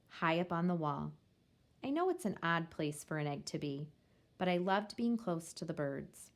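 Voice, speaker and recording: A woman reading a children's picture book aloud in the first person, with measured, expressive storytelling. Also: low-pitched.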